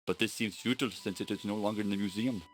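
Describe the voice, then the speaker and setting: A man's voice: on the low side, steady tone, quick pace, lightly acted. An actor playing a stern, proud Egyptian male scholar who speaks in formal, solemn and menacing pronouncements, in a 1990s adventure game.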